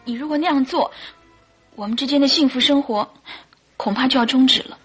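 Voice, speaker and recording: An actress playing a secretive, imploring young wife in a radio drama, speaking earnestly. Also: female voice, high-pitched, brisk, fairly bright tone, slightly rough, soft background music. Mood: evasive, anxious. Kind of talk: Mandarin radio drama acting.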